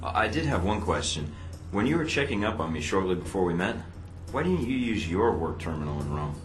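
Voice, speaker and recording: Very deep-voiced wry secret agent. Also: male voice, big pitch swings, brisk. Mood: probing. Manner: dry, laconic, deflecting. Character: wry, guarded, battle-weary male secret agent. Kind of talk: video game cutscene dialogue. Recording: roomy sound with music behind.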